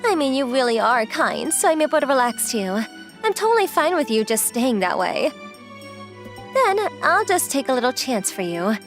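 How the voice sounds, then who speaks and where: A woman voicing a relaxed, playfully teasing, girlish young model in a manga dub. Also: high voice, moderate pace, soft background music. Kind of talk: acted anime-style dialogue.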